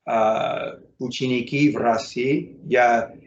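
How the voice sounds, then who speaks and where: An American man, a native English speaker, speaking learner's Russian in a casual interview, halting with many fillers and self-corrections. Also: medium pitch, steady tone, echoey room, highs cut off. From United States. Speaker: interview guest, English teacher.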